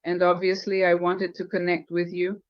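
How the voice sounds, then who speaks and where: A woman guest in an AI-dubbed voice, giving short, soft-spoken answers about receiving a healing session. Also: fairly low pitch, monotone, moderate pace, dry recording. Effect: AI voice dub. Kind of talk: interview answers. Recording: muffled lo-fi recording.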